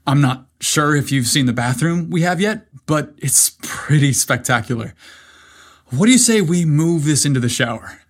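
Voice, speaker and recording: A man's voice: fairly high pitch, animated, normal speed. A man playing an easygoing, smitten best man in an audio story, speaking casually and candidly. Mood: seductive.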